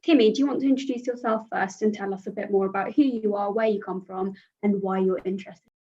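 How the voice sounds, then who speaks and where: A woman's voice: medium pitch, fairly even intonation, quick pace, mellow. A young woman hosts a podcast and introduces her guests in a polished, prepared manner. English is not her first language. Her voice sits mid-range, and the audio is dull and lo-fi. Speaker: host. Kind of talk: podcast hosting.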